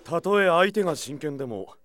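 An actor playing a calm, confident swordsman guard captain in a Japanese audio drama, speaking gruffly but with composure. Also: fairly high pitch, moderate pace, slight background noise.

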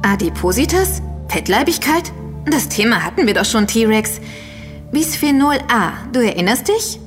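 An actress playing a quick-witted, knowing female investigator in a German audio drama, with an explanatory way of talking. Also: fairly high pitch, sing-song. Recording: reverberant hall with music underneath.

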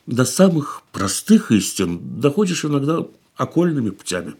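Radio play: weary consoling man, husky, lively melodic delivery, quiet and reflective. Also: medium pitch, normal speed. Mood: gentle, reflective. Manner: soft, reflective, calls her 'darling' tenderly. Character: weary worldly middle-aged man, gentle, consoling. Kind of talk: studio radio drama acting.

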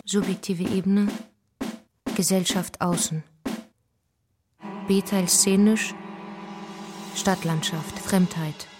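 A woman narrating a radio feature, calmly reading terse notes and letters aloud. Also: lowish voice, slow, pauses often, over music. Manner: terse, reads fragmentary keywords.

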